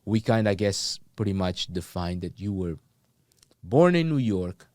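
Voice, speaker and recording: A man's voice: deep voice, very animated, moderate pace, darkish tone, no echo, clean studio sound. A man from Angola hosting an interview, talking casually and often checking in with his guest. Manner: affirming, checks in often with "right?". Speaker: interview host.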